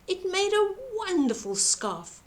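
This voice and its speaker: An older woman reading a children's bedtime story aloud in an expressive narrating style. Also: extremely high pitch, slow.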